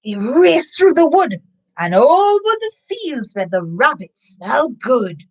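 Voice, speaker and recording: A woman from Trinidad hosts a story time for children. Her voice sits a little high and moves with very lively intonation. She takes her time, speaking expressively and directly to young listeners. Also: slow, slightly rough, lo-fi sound. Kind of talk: children's story time reading with casual asides.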